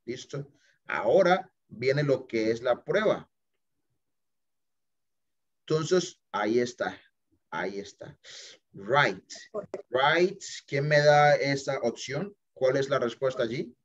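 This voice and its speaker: Slow-talking man teaching over a video call. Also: medium pitch, lively intonation. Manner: chatty, checks in with "listo?" and "ok?", mixes English phrases. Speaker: English teacher. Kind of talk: screen-share app walkthrough for students. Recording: dull lo-fi video call audio.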